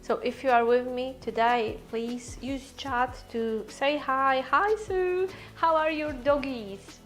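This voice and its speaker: A woman's voice: sits high, takes it slowly, soft background music. Chatty woman greeting viewers. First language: Polish. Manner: chatty, greets viewers by name, laughs often. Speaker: vlogger hosting a live stream. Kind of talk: casual live-stream chat greeting viewers. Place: holiday rental apartment.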